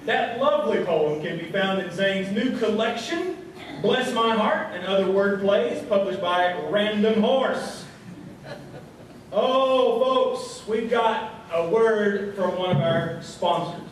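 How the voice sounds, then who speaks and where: A man plays a folksy radio-comedy host with a high, slow delivery. He sounds far from the microphone in a noisy, very echoey hall. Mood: folksy announcing. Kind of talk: live comedy radio show.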